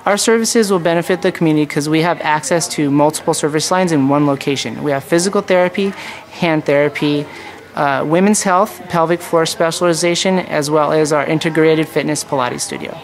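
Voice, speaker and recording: A man's voice: fairly high pitch, brisk. An American man in his thirties presenting a clinic as its therapy manager in a promotional interview. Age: 30s. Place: large indoor therapy clinic.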